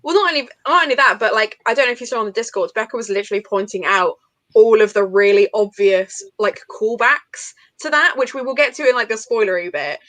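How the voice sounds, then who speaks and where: A woman with a fairly high voice hosts a casual book chat. She talks in an informal, chatty, enthusiastic way. The sound is close and dry. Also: fast talker, fairly bright tone, no room sound. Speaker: host of a book readalong livestream.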